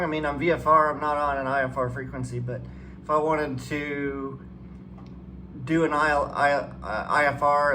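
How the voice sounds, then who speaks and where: A man giving casual hands-on commentary as a flight simulator guide, rambling and thinking aloud. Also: on the high side. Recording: clearly noisy room background.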